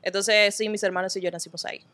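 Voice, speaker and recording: A woman's voice: mid-range voice, level tone, fast talker, a bit bright, no echo. Woman, 20s, interview guest, chatty storyteller, dry close sound. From Dominican Republic. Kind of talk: casual interview conversation.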